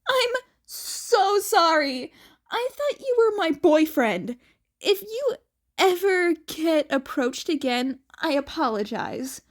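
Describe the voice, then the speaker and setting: Woman acting a high-voiced, emphatic teenage girl. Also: very animated, takes it slowly, heavily acted. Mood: upset, apologetic. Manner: exclaiming, emphatic. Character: anxious, searching teenage girl, exasperated and emotional.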